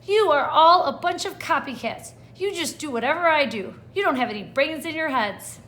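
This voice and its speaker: A woman's voice: sits high, lively intonation, normal speed, fairly bright tone, a little hiss or hum, voice pushed up. A woman voicing a stubborn, defiant little schoolgirl from a storybook, declaring things firmly and emphatically. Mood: angry, scolding.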